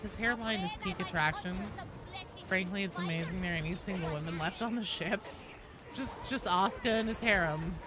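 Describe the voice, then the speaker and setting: A woman's voice: lowish voice, moderate pace, over music, a crowd in the background. A woman live streamer chatting casually and wryly with her viewers while she plays a game. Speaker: live streamer hosting gameplay.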